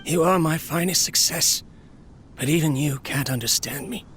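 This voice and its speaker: An actor playing a tired, lonely inventor speaking softly to his machine in a game. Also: a little high, moderate pace, faint music behind, natural voice. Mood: resigned. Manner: tired, melancholy monologue. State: ill, coughing, weakening. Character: weary lonely man, a failing inventor talking to his machine. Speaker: game character.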